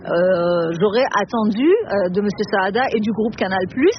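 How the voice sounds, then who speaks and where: A woman's voice: mid-range voice, lively intonation, moderate pace, slight background noise. Woman filmmaker from Iran, dull interview sound. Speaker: film director. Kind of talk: spontaneous interview answer.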